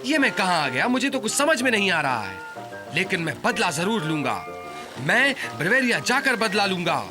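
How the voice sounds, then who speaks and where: An actor playing an excitable, theatrical adventurer in a Hindi cartoon dub. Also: male voice, high voice, moderate pace, music in the background. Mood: baffled, vengeful.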